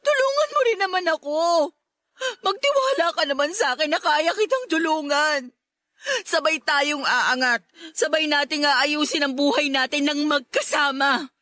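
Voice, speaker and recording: Very high, tearful young Filipina. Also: female voice, moderate pace, pushed and bright, no echo, studio quality. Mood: earnest pleading. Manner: pleading, emotional, insistent. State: tearful, crying. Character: lovestruck, desperate young woman pleading with her boyfriend. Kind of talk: Filipino radio drama acting.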